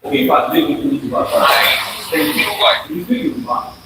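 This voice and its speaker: A man's voice: a little high, lively intonation, normal speed, recorded from a distance. Man, live talk to a crowd, asks the room questions, long hall reverb, heard from afar, noisy recording. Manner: interactive, quizzes the crowd, many restarts. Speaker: speaker presenting to an audience. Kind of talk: live presentation with audience interaction. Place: large hall with a live audience.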